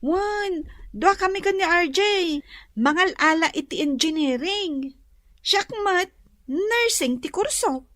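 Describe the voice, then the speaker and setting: A woman's voice: extremely high pitch, lively intonation, takes it slowly, fairly bright tone, no echo, studio quality, full character voice. A woman with an Ilocano accent playing a young, eager and respectful nursing student in a radio comedy drama. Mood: polite, earnest.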